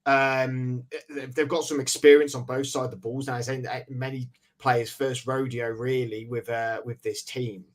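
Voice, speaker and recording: A man hosting a casual sports podcast in a chatty, informal way, slightly hoarse and sniffly with a cold. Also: medium pitch, little pitch movement, moderate pace. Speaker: podcast host.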